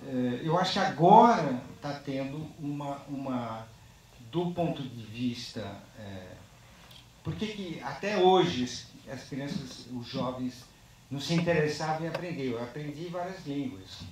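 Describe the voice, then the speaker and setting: A man speaking unscripted on a panel after a film screening. He talks in a halting, reflective way and ends most phrases with a tag question. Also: medium pitch, animated, darkish tone. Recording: very echoey, distant microphone.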